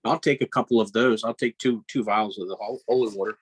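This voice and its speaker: A man's voice: fairly low pitch, fairly even intonation, fast talker, husky. A man talking casually as a player in a tabletop role-playing game.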